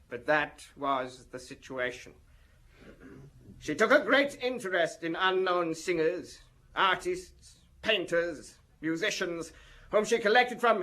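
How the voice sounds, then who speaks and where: A showy defence lawyer in a radio play, his voice high and bright. He orates pompously, with florid phrasing and sly insinuation. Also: exaggerated voice. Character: flamboyant, insinuating male French defence lawyer addressing press. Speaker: actor in radio drama. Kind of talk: theatrical courtroom-style oratory in radio drama.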